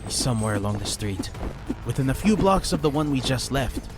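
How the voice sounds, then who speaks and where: A man's voice: medium pitch, sing-song, a little fast, close to an everyday voice. A man playing a gallant, resolute male ninja who speaks formally and earnestly in a fantasy audiobook. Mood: urgent. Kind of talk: dramatized audiobook acting. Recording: music bed under voice.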